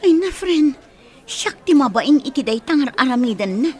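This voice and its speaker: A sincere young working woman in a radio drama. Her voice is a little high. She speaks in a natural, conversational way, as if to people close to her. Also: very animated, moderate pace. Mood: puzzled, embarrassed. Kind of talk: Filipino radio drama acting.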